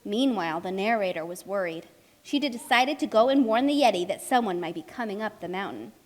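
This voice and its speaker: A woman's voice: medium pitch, expressive, brisk, close to an everyday voice. A woman playing a chatty, anxious storybook narrator, speaking expressively and emphatically in a children's puppet show. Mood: storytelling.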